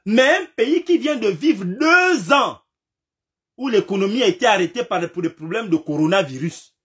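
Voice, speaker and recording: A man with a fairly high voice gives a fiery political commentary. His pitch swings widely as he repeats phrases, piles up rhetorical questions and sometimes shouts. He has a slight cold. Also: expressive, normal speed. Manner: emphatic, repetitive, rhetorical questions, rising to shouts.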